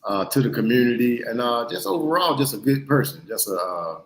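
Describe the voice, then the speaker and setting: A man in his thirties with a Mississippi Southern accent, a guest in a casual interview, relaxed and pausing with fillers. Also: medium pitch, echoey room. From Mississippi, USA. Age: 30s. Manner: relaxed, hesitant with frequent uh fillers.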